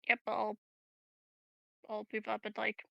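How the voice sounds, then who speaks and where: A woman's voice: medium pitch, monotone, slow, gravelly, fairly bright tone, lo-fi sound. A woman playing a tabletop game, chatting casually as a player.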